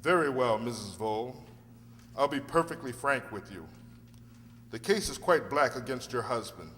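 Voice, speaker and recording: A man's voice: mid-range voice, lively intonation, moderate pace, fairly bright tone, natural voice. Guy doing a polite earnest lawyer, stagey radio play, formal talk, echoey hall with some hum. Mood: frank, careful. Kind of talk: radio drama acting.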